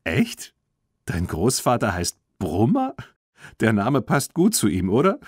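Guy reading as a plucky young girl, forthright, animated, kids audiobook. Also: fairly low pitch, takes it slowly, slightly rough, dry recording, clean studio sound, exaggerated voice. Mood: amused. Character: plucky, sceptical young horse-loving girl.

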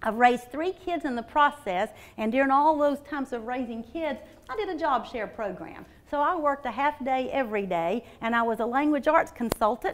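A woman, a school administrator giving an energetic, folksy training talk to teachers in a large meeting room on a lapel mic. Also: on the high side. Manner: energetic, folksy, animated gestures. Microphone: lapel mics.

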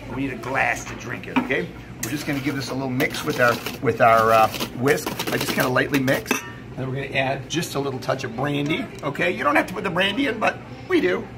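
A middle-aged man giving a casual cooking demonstration, chatty and emphatic, often checking in with the viewer. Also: mid-range voice. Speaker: chef presenting a recipe video. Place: indoor commercial kitchen or sandwich shop. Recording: clear background noise in working kitchen.

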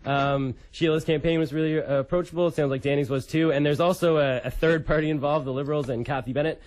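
A man's voice: on the high side, steady tone, fast talker, a little mellow. A young adult man from Canada speaking as a radio show host in a studio. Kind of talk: casual radio talk and dramatic reading.

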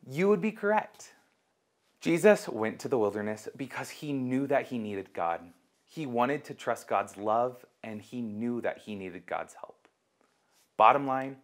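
Male kids' church host, twenties, average pitch, simple encouraging teaching delivery. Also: lively intonation, a little slow. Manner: simple, encouraging, asks listeners questions. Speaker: children's ministry host and teacher. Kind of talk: kids sermon to camera.

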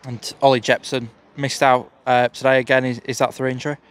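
A man's voice: mid-range voice, level tone, fairly quick, clean tone. A man interviewing a football manager outdoors for the club, asking hesitant questions full of fillers. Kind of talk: post-match interview questions. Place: outdoors beside a football pitch.

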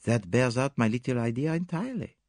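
A man voicing a calm, shrewd male detective in a storybook reading, formal, measured and gently insistent. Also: medium pitch, no echo, studio quality, pitched above the performer's own voice, natural voice. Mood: mild, probing. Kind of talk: audiobook character dialogue.

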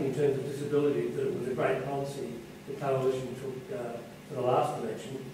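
Male audience member, booming teacher voice, recorded from far off in an echoey hall. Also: slight background noise. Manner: loud projecting voice. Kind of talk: audience question.

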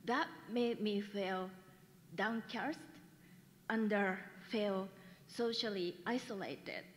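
A woman's voice: mid-range voice, slight background noise. A middle-aged woman from Japan with a Japanese accent, giving a careful, hesitant prepared speech at a podium. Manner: careful, measured delivery with hesitations. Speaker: graduating student. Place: large school hall. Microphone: podium mic. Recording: long hall reverb.

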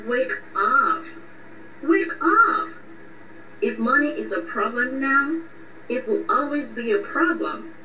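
Actress doing blunt sarcastic young woman in a radio drama, teasing her friend, slow pace, echoey muffled sound. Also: fairly high pitch, a bit bright. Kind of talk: radio drama phone conversation. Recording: muffled, echoey, distant, faint noise.

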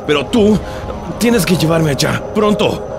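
A man's voice: fairly high pitch, lively intonation, unhurried. A man playing an anxious, insistent robot in a cartoon fan dub. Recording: clear music behind.

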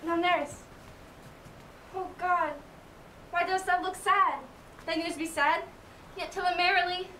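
A woman's voice: very high voice, fairly even intonation, a little slow, pauses often, pushed and bright, exaggerated voice. A woman playing an impatient, lovesick young girl in a Shakespeare play, pleading and declaiming. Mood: eager. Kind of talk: Shakespearean stage acting. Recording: echoey room, distant mic, faint noise.

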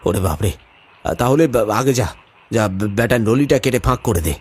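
An actor playing a ruthless, jumpy village henchman with a rough, bullying manner in an animated horror story. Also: medium pitch, normal speed. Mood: callous, uneasy.

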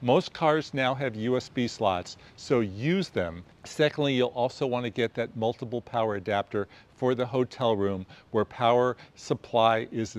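American guy in his 60s hosting a travel tips video, mid pitched voice, punches each point in a list. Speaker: host of a travel photography video show. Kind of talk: presenting gear tips to camera. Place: outdoors on a quiet residential street.

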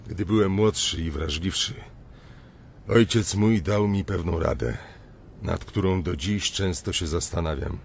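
Gravelly, volatile middle-aged banker. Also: male voice, deep voice, a little slow, a little hiss or hum. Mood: reflective, reciting. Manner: confrontational, blunt. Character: gruff, foul-mouthed middle-aged banker, volatile mentor. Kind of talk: radio drama acting.

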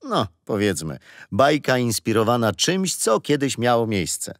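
A man's voice: mid-range voice, sing-song, a little slow, no room sound, clean studio sound. An actor playing a kindly older grandfather who patiently tells stories and history in a children's radio play. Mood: patient, explaining. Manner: patient, playful teasing. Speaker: storyteller grandfather.

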